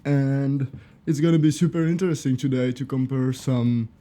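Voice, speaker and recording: Man, Swiss French accent, French native speaker, warm mellow tone, podcast guest, casual, searching for words. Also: medium pitch, steady tone, normal speed. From Switzerland. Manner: searches for words, restarts phrases.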